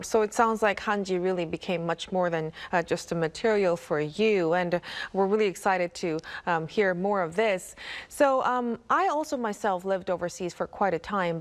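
A woman hosting a broadcast interview, with a polished, formal presenting manner. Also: lowish voice. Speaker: host.

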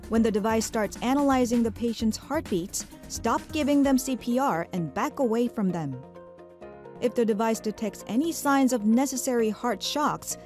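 A woman reading scripted first-aid instructions in a calm, instructive way. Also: fairly high pitch. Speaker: narrator of a safety announcement. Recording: music behind the voice.

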